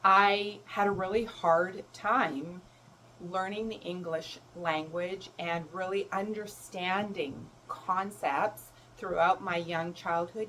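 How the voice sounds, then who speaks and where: A woman whose was German, speaking as a self-love coach in a gentle, reflective talk. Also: lowish voice, slight background noise, low-quality recording. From Canada. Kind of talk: reflective solo talk to camera.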